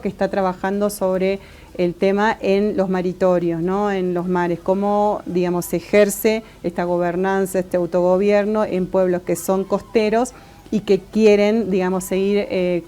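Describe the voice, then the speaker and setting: Mellow Argentine woman, level delivery. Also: medium pitch. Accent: Argentine Spanish. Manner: measured, thoughtful, many fillers and restarts. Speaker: guest academic. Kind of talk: interview answers.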